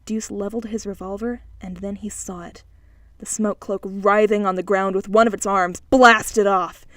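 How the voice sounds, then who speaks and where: A woman's voice: mid-range voice, fairly even intonation, normal speed, a bit bright, dry recording, studio quality. A woman reading an audiobook, narrating scripted fiction in a dramatic style.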